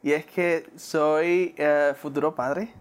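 A man's voice: fairly high pitch, fairly even intonation, slow pace, very noisy. A young man with a Puerto Rican Spanish accent, a guest in a casual interview, who talks hesitantly, tags his sentences with "¿verdad?", laughs often and slips into English. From born in New Jersey, raised in Puerto Rico.

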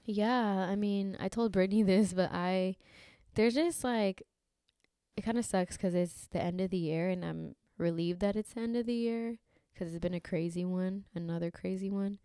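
A young woman co-hosting a podcast in casual chat, a little congested from allergies, chatty and quick to laugh. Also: mid-range voice, warm tone, clean tone, no echo, studio quality. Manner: chatty, laughs often, lots of fillers. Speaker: podcast co-host.

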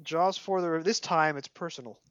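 A man's voice: on the high side, brisk, no echo. Scratchy-voiced Brooklyn man, dry lo-fi sound. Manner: animated storyteller, mock dramatic. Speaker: podcast host. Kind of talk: casual chat, reading aloud from a book.

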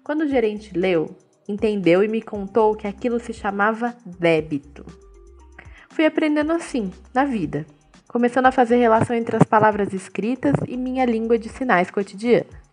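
A woman giving interview answers in a measured, steady, explanatory way, voicing them in translation. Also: a little high, soft background music.

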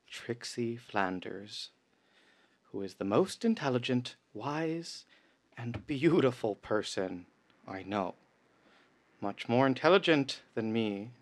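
A man playing a panicked, melodramatic radio announcer in a radio play about an alien invasion. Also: mid-range voice. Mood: solemn, defeated. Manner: overdramatic, grandiose.

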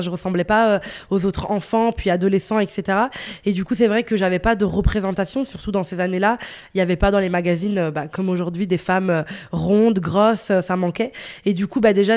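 A woman's voice: mid-range voice, a little mellow. A woman in her late twenties from northern Italy, a guest chatting casually and bubbly in an interview, laughing easily and full of fillers. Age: 20s.

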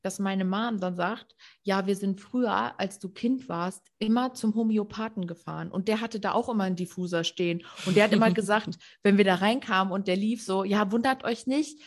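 A woman's voice: mid-range voice, fairly quick. A woman co-hosting a podcast, telling a story in a rambling, chatty way and often adding tag questions. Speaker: podcast co-host. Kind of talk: casual storytelling chat.

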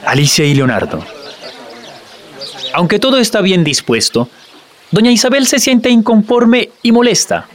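A man narrating a scripted radio drama in deliberate, measured phrases. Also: high voice, very animated. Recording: loud music and crowd behind.

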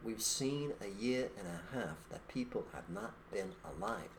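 A man's voice: on the low side, lively intonation, normal speed, slight background noise. A man co-hosting a podcast, talking in a blunt, emphatic way and making rhetorical points in an opinionated conversational monologue. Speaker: podcast co-host.

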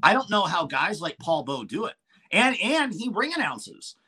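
A man's voice: high-pitched, sing-song, moderate pace, bright, forceful tone, breathy edge. A man, a wrestling manager and commentator, chatting casually in a podcast interview with frequent restarts and fillers.

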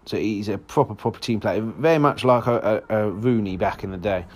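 A man with a hoarse voice, hosting a solo football podcast in a rambling, casual way with lots of restarts. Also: on the low side, a little fast, darkish tone, a little hiss or hum. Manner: rambling, frequent restarts and fillers.

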